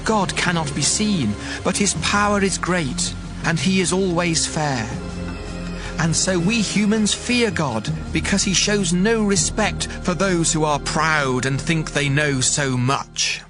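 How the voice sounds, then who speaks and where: A man's voice: fairly high pitch, slow. An actor playing a devout man who earnestly declaims his awe of God's power in a dramatized audio Bible. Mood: earnest, challenging. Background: music under the voice.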